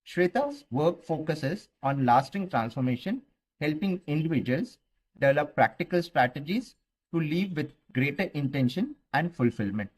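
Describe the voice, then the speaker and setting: Man with a breathy voice reading a scripted intro. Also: fairly high pitch, expressive, rough recording. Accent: Indian English. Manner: measured, formal read. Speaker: podcast co-host narrating intro. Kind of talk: scripted narration.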